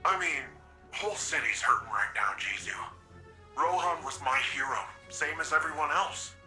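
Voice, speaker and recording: An actor playing a young cyborg city champion, earnest and easygoing, with informal phrasing in a video game. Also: male voice, a little high, lively intonation, normal speed, pushed and bright, soft background music. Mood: earnest, resolute. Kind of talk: video game character acting.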